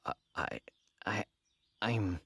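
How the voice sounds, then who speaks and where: A woman's voice: very deep voice, expressive, takes it slowly, fairly bright tone, slightly rough, dry recording, full character voice. A woman playing a hot-headed, outspoken yet vulnerable young male fighter in an anime audio drama. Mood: shaken, pleading. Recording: music under the voice.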